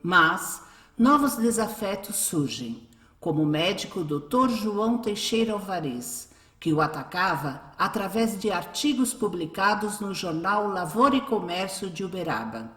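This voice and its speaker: A Brazilian woman narrates a radio drama from a script. Her medium-pitched voice sounds in a roomy echo, with soft music underneath. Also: expressive, unhurried.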